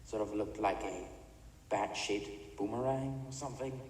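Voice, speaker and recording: An actor in an audio drama playing a remorseless older criminal chemist, speaking in a measured, haughty, matter-of-fact way. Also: male voice, on the low side, a little mellow, close to an everyday voice. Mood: cold, matter-of-fact. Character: cold, remorseless older male villain, an imprisoned mad chemist. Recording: echoey reverberant room, distant, faint noise.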